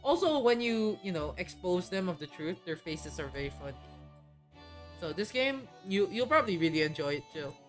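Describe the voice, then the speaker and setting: Rambling, low-voiced woman streamer. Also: very animated, moderate pace, soft background music. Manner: rambling, hesitant, many fillers and restarts. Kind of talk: casual chat while playing a game on stream.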